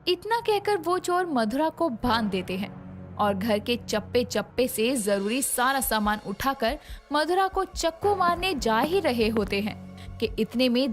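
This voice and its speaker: A woman narrating a scripted moral story in a dramatic, expressive way, over soft background music. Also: a little high.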